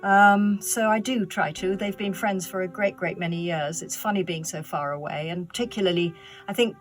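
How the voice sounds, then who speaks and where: Female actor, fairly low voice, casual interview talk, light music underneath. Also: moderate pace.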